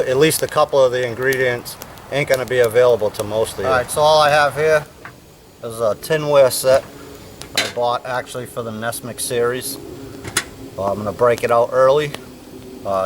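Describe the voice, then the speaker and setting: A man's voice: mid-range voice, moderate pace, long pauses. Laid-back man talking outdoors, off-mic. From United States. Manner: laid-back, rambling, many fillers. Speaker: bushcraft instructor. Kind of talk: casual outdoor cooking demonstration. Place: outdoors at a campfire. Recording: distant mic, faint outdoor noise.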